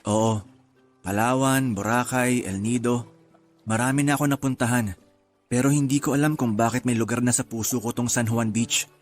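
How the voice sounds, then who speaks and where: Radio drama role: actor as casual, sincere young city guy, mid-pitched, faint music. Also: fairly even intonation, normal speed, natural voice. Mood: easygoing. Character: wistful, easygoing young city man on holiday. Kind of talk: Tagalog radio drama acting with English mixed in. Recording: music under the voice.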